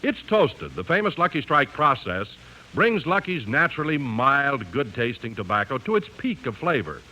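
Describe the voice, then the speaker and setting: A man's voice: on the high side, pushed and bright, poor audio. A man announcing and selling in emphatic, polished 1950s radio style. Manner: emphatic, polished sales delivery. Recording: vintage 1950s radio broadcast.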